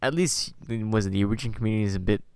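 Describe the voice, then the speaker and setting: A man hosting a podcast in casual conversation, talking in a rambling, halting way and often correcting himself. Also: lowish voice, creaky, darkish tone, smooth, dry recording. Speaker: podcast host. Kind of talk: casual interview chat.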